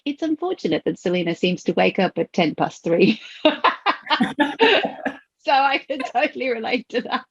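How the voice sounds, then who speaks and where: A woman's voice: on the high side, breathy edge, highs cut off. Bubbly woman host on a video call. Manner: bubbly and effusive, laughs often. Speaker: podcast host. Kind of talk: enthusiastic interview chat on a video call.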